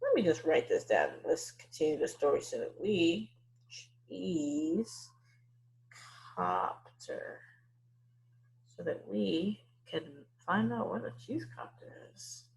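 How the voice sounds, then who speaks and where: Slow, very animated woman teaching young children. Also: on the low side, creaky, slightly rough, poor audio. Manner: exaggerated questioning, thinking aloud to young listeners. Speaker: teacher leading a read-aloud. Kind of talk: interactive children's story-time lesson.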